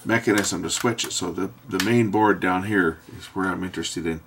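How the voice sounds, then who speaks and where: Deep, gravelly man thinking aloud. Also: moderate pace. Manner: thinking aloud, hesitant while working. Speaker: host repairing electronics. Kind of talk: casual repair commentary. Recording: background noise with handling clicks.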